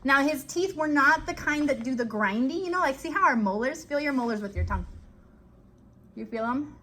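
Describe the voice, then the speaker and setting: Woman, kids' educational host. High, bright voice, chatty and direct, informal live-stream lesson with faint noise behind. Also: fairly quick. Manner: animated, chatty, addresses children directly with frequent check-in questions. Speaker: children's educational show host.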